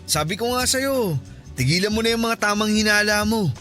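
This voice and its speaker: High-voiced, insistent lovesick man. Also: animated, moderate pace, sharp tone, faint music behind. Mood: exasperated. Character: insistent, lovesick male friend pursuing married woman. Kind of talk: Filipino radio drama acting.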